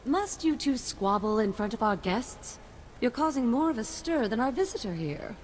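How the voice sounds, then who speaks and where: A prim noblewoman from a video game, voiced by an actress. Her voice is fairly high, formal and reproving, with slight background ambience. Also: animated, moderate pace, darkish tone, slight background noise, lightly acted. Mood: exasperated.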